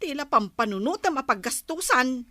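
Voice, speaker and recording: Woman acting exasperated ilocano filipino wife, really high sharp voice, always complaining, comedy drama. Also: very animated, moderate pace, no room sound, clean studio sound, heavily acted. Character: nagging exasperated wife fretting over household spending.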